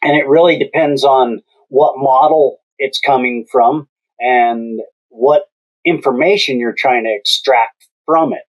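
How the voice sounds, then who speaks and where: A man hosting a podcast interview, speaking in a slow, measured way with long pauses. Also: mid-range voice, dull audio. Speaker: podcast host.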